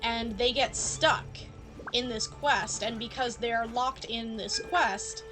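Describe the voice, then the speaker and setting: A woman with a high voice chats casually as a game streamer, talking viewers through what she is playing. Game music plays clearly behind her. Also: steady tone, slow. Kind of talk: casual live game commentary.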